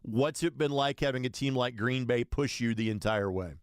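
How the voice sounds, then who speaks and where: A man's voice: medium pitch, normal speed, clear, resonant tone, no room sound, studio quality. A man hosting a sports radio show, interviewing a guest in a conversational way.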